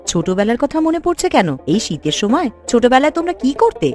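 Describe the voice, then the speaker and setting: A woman playing an elderly village wife in a cartoon, chiding yet fond, with expressive delivery. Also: sits high, fairly quick, soft background music. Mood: reflective. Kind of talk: cartoon dialogue.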